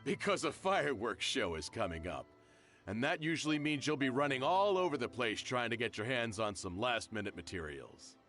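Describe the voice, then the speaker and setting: A middle-aged shopkeeper from a video game, genial and familiar. His ordinary-pitched voice rises and falls dramatically as he talks. There is music underneath. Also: very animated, normal speed, fairly bright tone. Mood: jovial. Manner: friendly, familiar, insistent.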